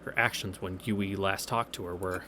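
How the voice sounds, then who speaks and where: A man's voice: low voice, fairly even intonation, normal speed, bright, forceful tone, a little hiss or hum. A young adult man giving casual, sardonic commentary and reading aloud as he plays a visual novel. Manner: sardonic, sweary, offhand. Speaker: game streamer reading through a visual novel.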